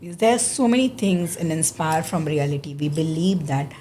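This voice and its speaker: A woman's voice: low-pitched, animated, normal speed, warm tone, a little hiss or hum. A woman hosting an interview podcast, speaking earnestly and often restarting her phrases. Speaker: podcast host. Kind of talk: interview chat.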